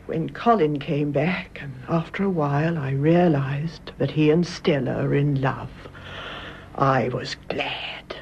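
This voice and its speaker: An actress playing a calm, devoted elderly British mother in a radio drama, speaking in a measured, genteel and frank way. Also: deep voice, vocal fry, exaggerated voice. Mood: hushed, tender. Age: older. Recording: dull, noisy radio drama recording.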